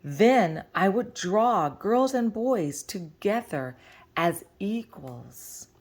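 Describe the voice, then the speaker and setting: A woman reading a picture book aloud to children as a storyteller, with deliberate, expressive phrasing. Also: medium pitch.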